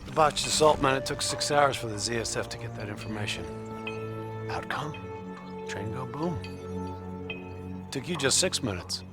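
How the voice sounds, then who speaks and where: A man's voice: medium pitch, animated, unhurried, long pauses, natural voice. A man playing a cocky, seasoned special-ops team leader in a video game, speaking bluntly and instructively. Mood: briefing, wry. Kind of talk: video game cinematic acting. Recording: loud music bed behind the voice.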